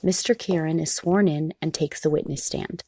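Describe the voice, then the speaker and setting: A woman's voice: sits low, fairly even intonation, moderate pace, warm tone, slight background noise. A woman narrating a scripted courtroom drama in a formal, matter-of-fact manner.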